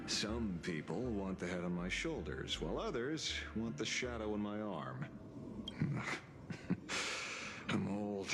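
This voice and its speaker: Wry veteran ranger for a game: low, leisurely drawl, hugely expressive, teasing, world-weary. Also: male voice, exaggerated voice. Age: older. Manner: drawling, teasing, chuckling, theatrical. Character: old wry drifter ranger, sly, theatrical, world-weary gunslinger. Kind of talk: video game voice acting. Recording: loud game music behind the voice.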